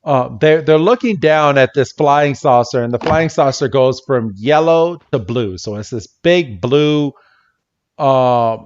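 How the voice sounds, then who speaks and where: A man co-hosting a podcast in a casual, halting recap style, acting out lines as he goes. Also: medium pitch, muffled recording. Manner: halting, acts out characters' lines. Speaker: podcast co-host. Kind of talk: casual episode recap chat.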